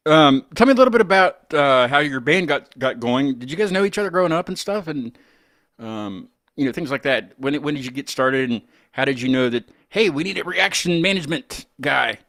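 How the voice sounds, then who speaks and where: A man's voice: on the high side, lively intonation, brisk, fairly bright tone, dry recording, studio quality. A man hosting a casual interview show, chatting informally. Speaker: host of a band interview show.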